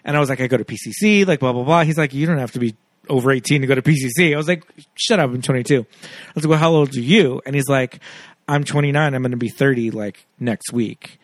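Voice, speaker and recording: A man's voice: medium pitch, fast talker, no echo. Chatty man, dry sound. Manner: chatty storyteller, many "like" fillers. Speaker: podcast host. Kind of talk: casual film chat.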